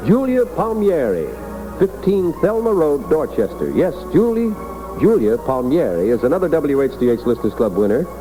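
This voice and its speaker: A male radio announcer from the early 1960s with a lively, varied delivery. His voice is a little high, and he reads like a morning host over a vintage broadcast with music behind him. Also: normal speed, low-quality recording.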